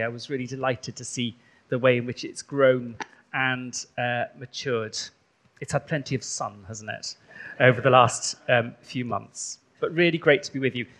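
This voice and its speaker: A man from rural Wales, a bishop whose first language is Welsh, giving a chatty sermon in a church with many ums. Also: medium pitch. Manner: warm, conversational preaching with frequent ums.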